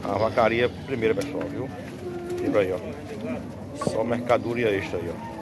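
A man's voice: fairly low pitch, moderate pace. A man vlogging casually from outdoor cattle pens at a livestock fair, filming on a handheld phone and talking straight to his viewers with repeated tag words. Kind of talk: casual vlog showing cattle. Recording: loud crowd noise behind.

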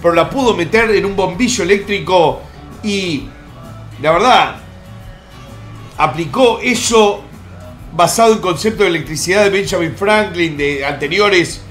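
Crude joking man, high-pitched. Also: measured pace, fairly bright tone, soft background music. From born in the United States. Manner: vulgar, joking, rambling asides. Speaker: streamer host. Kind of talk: crude comic countdown commentary. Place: home room in Miami.

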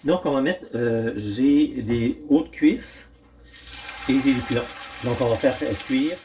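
A middle-aged man, hosting a casual cooking demonstration at home and thinking aloud with frequent pauses. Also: mid-range voice, noisy background. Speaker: home cooking channel host. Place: home kitchen, indoors.

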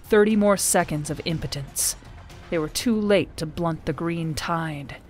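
A woman narrates dramatic fiction in a low voice. She takes her time with the scripted prose, reading as an audiobook performer over faint music. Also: a little slow, darkish tone. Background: music behind the voice.

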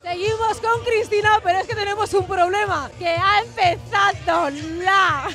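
A woman's voice: extremely high pitch, moderate pace. A woman in her thirties with a Spain accent, doing chatty, playful street interviews as a TV reporter, raising her voice. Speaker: TV reporter interviewing festival-goers. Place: outdoors at a night street festival. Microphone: handheld reporter microphone. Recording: loud festival music and crowd behind.

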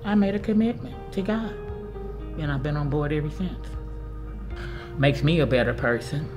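A woman's voice: deep voice, moderate pace. Emphatic older American woman, music behind. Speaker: church volunteer. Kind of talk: personal testimony interview. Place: indoor church sanctuary, seated interview.

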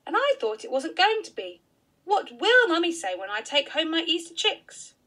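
Storybook boy, small and eager, voiced by a woman, bright and breathless. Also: extremely high pitch, moderate pace, pitched above the performer's own voice, exaggerated voice. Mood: delighted. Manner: excited, breathless exclamations. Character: eager, polite little boy.

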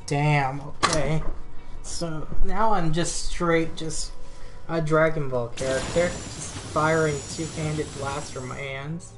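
Woman game streamer w a low voice, just chatting, game music pretty loud. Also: steady tone, slow. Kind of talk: casual co-op gameplay chat. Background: video game music.

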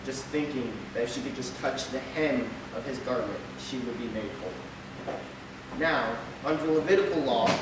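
A young man delivers a sermon from his notes at the pulpit, reading steadily and tripping over a word now and then. His voice rings through a very echoey church sanctuary, picked up from a distant camera with a noticeable hiss behind it. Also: mid-range voice. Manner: reads steadily from a script at the pulpit, with occasional stumbles and filler. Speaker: lay preacher. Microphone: pulpit mic, camera at the back. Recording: echoey church hall recorded from afar.

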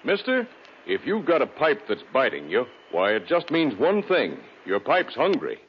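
A man's voice: mid-range voice, wildly swooping pitch, normal speed, a little hiss or hum. A man reading a folksy 1940s radio advertisement as an announcer. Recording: dull 1940s radio recording.

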